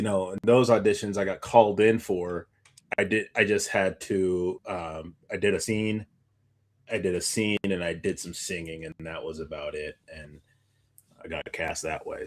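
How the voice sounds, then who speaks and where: Casual, low-pitched man chatting. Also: steady tone, moderate pace. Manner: informal, rambling, anecdotal. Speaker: podcast co-host and actor. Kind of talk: casual conversational chat.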